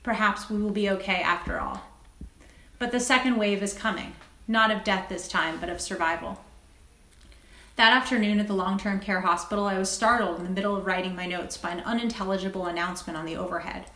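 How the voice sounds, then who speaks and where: A woman speaking as a guest doctor and writer, articulate and measured, in an interview and reading from her own essay. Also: mid-range voice, bright, forceful tone. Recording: roomy, echoing sound.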